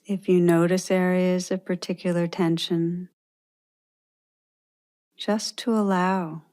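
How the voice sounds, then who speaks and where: Slow, low-voiced woman guiding meditation. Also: little pitch movement. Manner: gentle, unhurried instruction with pauses. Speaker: meditation teacher. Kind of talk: guided meditation.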